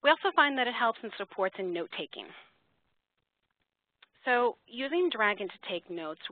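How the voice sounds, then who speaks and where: A woman giving an explanatory, measured slide presentation to educators, partly scripted, as a webinar presenter. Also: a little high, very animated, no echo. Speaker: software company webinar presenter. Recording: narrow-band phone line, dry.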